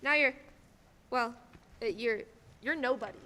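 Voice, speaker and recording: Casting: young woman playing an earnest, chatty guardian angel for radio drama, very forceful high voice, echoey hall. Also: unhurried. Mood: earnest, bright. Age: teens to young adult. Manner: eager, chatty, quaintly proper. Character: chirpy, earnest second-class guardian angel, female. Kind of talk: stage radio play acting. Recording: echoey hall, faint background noise.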